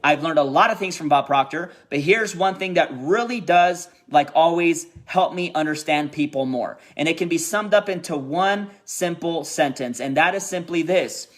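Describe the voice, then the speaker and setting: Emphatic young man with a fairly high voice. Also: moderate pace, few pauses. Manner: emphatic and repetitive, keeps checking in with "okay?" and "right?". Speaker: network marketing team leader. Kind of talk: motivational pep talk.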